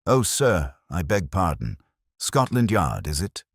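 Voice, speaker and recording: A man as a harried, low-pitched landlady. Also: very animated, a little slow, creaky, dry recording, heavily acted. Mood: startled, deferential. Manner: hesitant, colloquial, deferential. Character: harried, deferential working-class London landlady, uneasy and insistent. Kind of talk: audiobook detective story dialogue.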